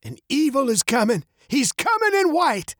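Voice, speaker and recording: A narrator putting on an eerie old prophet, pitched way up. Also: huge pitch swings, normal speed, fairly bright tone, no room sound, studio quality, heavily acted. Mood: dark, foreboding. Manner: ominous, chanting in rhyme. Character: eerie blind old man, darkly prophesying doom.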